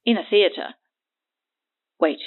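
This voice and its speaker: An actress playing a cagey, mocking woman who needles her listener in a naturalistic audio drama, heard over a phone filter. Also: slow pace. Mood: sardonic, explaining. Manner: haughty, needling, sardonic. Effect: phone line filter. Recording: tinny narrow phone line.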